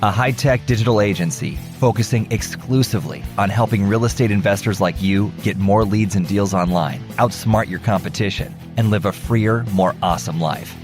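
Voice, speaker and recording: A low-voiced man reads the show's opening promo in an upbeat announcer delivery. Clear guitar music plays under his voice. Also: moderate pace, few pauses. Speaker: show announcer. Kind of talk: scripted promo voice-over.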